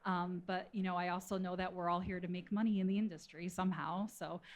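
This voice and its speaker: A woman's voice: lowish voice, level tone, fairly quick, highs cut off. A woman speaking as an expert panel guest, explaining her work in a casual, conversational way. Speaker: panel guest, food scientist.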